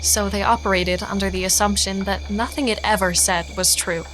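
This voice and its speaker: Woman playing matter-of-fact space historian, medium pitch, measured explanatory narration, audio drama, faint music. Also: steady tone, a bit bright, close to an everyday voice, rough recording.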